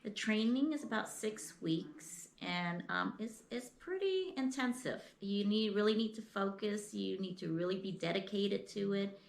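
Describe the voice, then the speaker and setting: A woman's voice: mid-range voice, lively intonation, normal speed, vocal fry, few pauses. A woman in her fifties, from Cambodia and raised in the United States, giving cheerful, emphatic answers in a casual interview. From Cambodia, raised in the United States from age five. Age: 50s. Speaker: flight attendant being interviewed. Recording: roomy, echoey sound.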